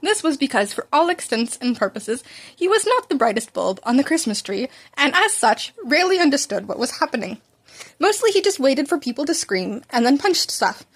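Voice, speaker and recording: Female amateur story reader, high voice, casual and slightly stumbling read. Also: big pitch swings, normal speed. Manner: informal, stumbles over words. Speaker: amateur podfic narrator. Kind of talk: casual fan-fiction read-aloud.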